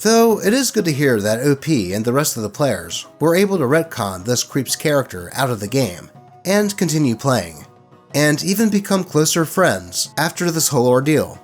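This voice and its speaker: A man narrating stories in an expressive, colloquial way, with casual commentary mixed in. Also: on the high side, moderate pace, soft background music. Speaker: host reading online tabletop horror stories.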